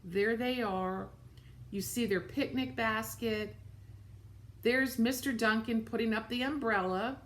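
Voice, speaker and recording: A woman's voice: fairly high pitch, unhurried. A woman reading a picture book aloud to children, expressively, with simple explanatory asides about the pictures.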